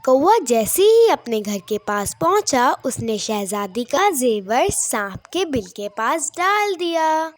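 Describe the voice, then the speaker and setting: A woman's voice: high voice, very animated, takes it slowly, hardly any pauses. A woman narrating a scripted fable for children as a storyteller.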